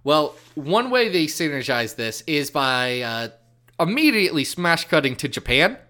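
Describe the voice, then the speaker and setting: A man's voice: fairly high pitch, very animated, a little slow, pushed and bright. A man from the American Midwest co-hosting a podcast, with dry, teasing jokes in casual chat. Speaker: podcast co-host.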